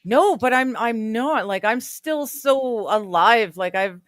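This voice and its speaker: A woman's voice: on the high side, lively intonation, slow, fairly bright tone, dry recording, studio quality. A woman in her forties chatting casually with friends on a stream, laughing often and poking fun at herself. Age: 40s. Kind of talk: casual chat.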